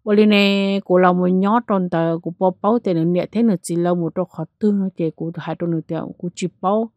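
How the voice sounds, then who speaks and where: A woman narrating a spooky tale in a lively storytelling manner, changing pace and loudness as she goes. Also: lowish voice, moderate pace, dry recording, lo-fi sound. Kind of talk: spoken ghost story narration.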